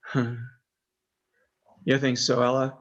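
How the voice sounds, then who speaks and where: A man playing a naive, devoted son in an old time radio horror play, speaking in a halting, stammering, earnest way. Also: mid-range voice, monotone, dull audio, close to an everyday voice. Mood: hopeful, wistful. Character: naive, simple, devoted son pining for missing mother.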